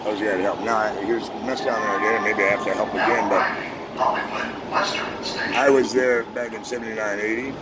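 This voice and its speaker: A man in his sixties rambling boastfully into a phone held close, on a subway train, his voice swinging from mutters to loud outbursts. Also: on the low side, steady tone, a little fast. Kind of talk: rambling monologue to a phone camera. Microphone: phone held close at chest level. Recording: loud train noise behind a phone mic.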